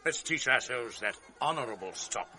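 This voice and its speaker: An actor playing a commanding general, measured and authoritative, speaking from a distance in a classic radio drama. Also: male voice, mid-range voice, lively intonation, pushed and bright, soft background music. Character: commanding, newly married male general.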